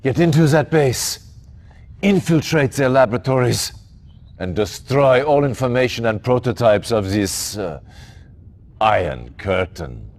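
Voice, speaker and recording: A man's voice: medium pitch, expressive, measured pace, a little mellow. An actor playing an authoritative male commanding officer who briefs and orders his commander in a game cutscene. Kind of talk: video game cutscene acting.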